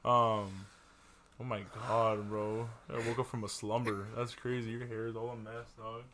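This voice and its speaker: A man's voice: fairly low pitch, level tone, moderate pace, fairly bright tone. A young man co-hosting a casual podcast, talking in a slangy, laid-back way and often trailing off mid-thought. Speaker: podcast co-host. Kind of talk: casual chat.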